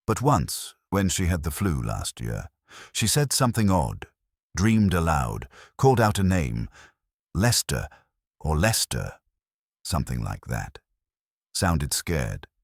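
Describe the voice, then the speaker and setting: Male reader as haughty, observant English landlady for a mystery tale: clipped phrasing, very low register, animated intonation. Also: slow, no room sound, clean studio sound, full character voice. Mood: guarded, observant. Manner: clipped, terse fragments, haughty. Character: prim, sniffy English landlady, guarded and observant. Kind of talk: dramatised mystery audiobook.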